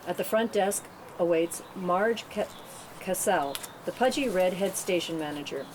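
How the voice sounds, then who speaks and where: A woman narrating screenplay directions at a table read. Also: unhurried. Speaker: narrator reading stage directions. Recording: clear background rumble and room noise.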